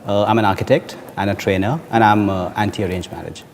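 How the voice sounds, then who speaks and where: A man in his forties speaking in a measured, reflective way in a panel debate. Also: on the low side, steady tone, vocal fry. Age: 40s. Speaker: debate participant. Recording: music bed underneath.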